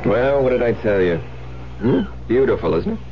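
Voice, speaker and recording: A man playing a breezy, smooth-talking new husband in an old-time radio thriller, teasing and theatrical. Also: medium pitch, expressive, normal speed, soft background music, natural voice. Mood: teasing, coaxing. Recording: muffled old radio recording with noise, hum.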